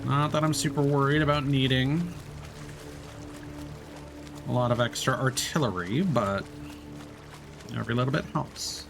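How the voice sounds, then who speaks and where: A man's voice: medium pitch, a little slow, gravelly, pauses often, music in the background. A man streaming a game, chatting casually and explaining as he plays, with lots of fillers and restarts. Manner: rambling, many fillers and restarts. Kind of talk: casual gameplay commentary.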